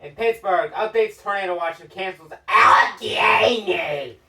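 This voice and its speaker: A woman's voice: medium pitch, unhurried, pushed and bright, a little hiss or hum. A woman giving a casual, sweary show-and-tell as host of a collection video, blunt and easily irritated.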